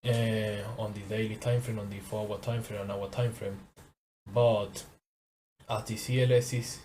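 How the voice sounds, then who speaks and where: Young Venezuelan man, Spanish-accented English, low flat delivery, hesitant, faint noise. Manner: halting, repeats words, searches for English. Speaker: trading course teacher. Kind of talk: casual screen-share lesson.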